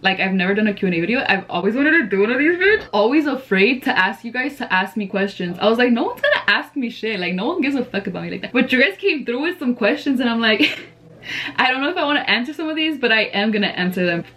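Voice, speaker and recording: A woman's voice: fairly high pitch, expressive, fast talker, fairly bright tone. A nineteen-year-old woman chatting candidly while getting ready, answering viewer questions with lots of slang and "like". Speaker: vlogger. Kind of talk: casual get-ready-with-me Q&A chat.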